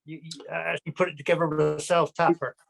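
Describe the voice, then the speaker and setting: A man's voice: fairly high pitch, level tone, fast, vocal fry. A man asking casual questions in a direct way, often repeating his words.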